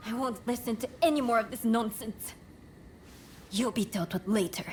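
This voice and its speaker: An actress playing a dignified, stern princess in a video game, speaking formally and with composure. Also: female voice, fairly high pitch, moderate pace, darkish tone, a little hiss or hum, lightly acted. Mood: indignant. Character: dignified, stern Japanese princess, commanding and gracious. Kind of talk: dramatic video game acting.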